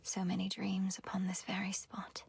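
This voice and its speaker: A woman's voice: mid-range voice, completely flat delivery, normal speed, gravelly, a little hiss or hum, natural voice. Woman acting a solemn young underworld witch princess. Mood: solemn, incantatory. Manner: solemn, formal, incantatory. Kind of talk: video game voice acting.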